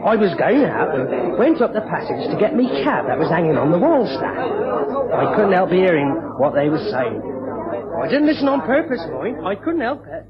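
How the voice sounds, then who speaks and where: A muffled radio drama recording with loud pub chatter behind. A man plays a servile, nosy lodger with a high voice, rambling on in an ingratiating, folksy way. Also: sing-song, normal speed. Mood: confiding. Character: servile, nosy male lodger, gossiping and insinuating. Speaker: actor in a radio mystery.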